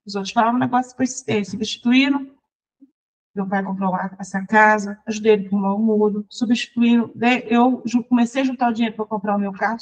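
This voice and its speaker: A Brazilian woman, a songwriter and teacher, recounting her life in a chatty, lively interview. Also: fairly high pitch, low-quality recording. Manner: lively, chatty, restarts and laughs while recounting. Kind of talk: personal storytelling in conversation.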